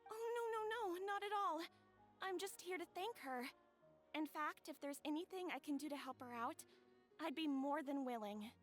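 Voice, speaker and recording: Woman doing a sweet young bride-to-be, slightly high voice, soft, heartfelt, faint music. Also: moderate pace, close to an everyday voice. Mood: eager.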